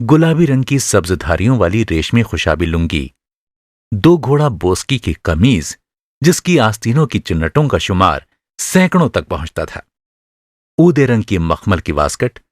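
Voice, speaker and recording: Man, radio drama narrator, low-pitched, expressive intonation, literary scripted storytelling. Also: dry recording, studio quality.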